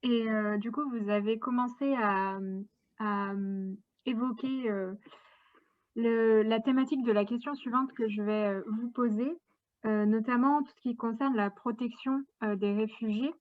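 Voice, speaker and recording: A woman interviewer asking questions hesitantly, with many pauses and fillers, over a video call. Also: a little high, fairly even intonation, no echo. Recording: dry tinny call audio.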